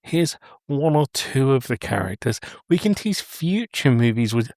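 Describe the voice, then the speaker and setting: A man's voice: mid-range voice, sing-song, a little slow, darkish tone, no echo. Man chatting on podcast, dry close sound. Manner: jokey, blunt, emphatic repetition. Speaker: podcast co-host. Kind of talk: casual chat, film review.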